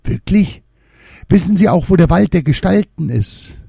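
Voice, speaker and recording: Eager young maid, high and earnest, as a male storyteller plays her, storybook heroine. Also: expressive, normal speed, vocal fry, dark tone, slightly rough, dry recording, studio quality, full character voice. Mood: hopeful.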